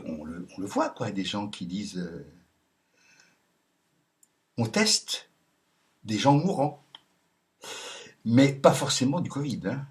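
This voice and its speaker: A man in a casual monologue, reading messages aloud and adding sardonic remarks, with frequent chuckles, ums and restarts. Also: on the low side. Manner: hesitant, many ums and restarts. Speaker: video commentator.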